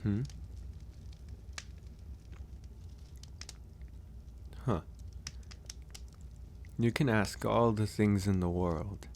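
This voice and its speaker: A man voicing a gentle young werewolf in a soft, intimate ASMR roleplay, with an unhurried, hesitant and reassuring manner. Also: fairly low pitch, steady tone, a little hiss or hum. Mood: bashful. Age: young adult. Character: gentle young male werewolf, shy mountain recluse.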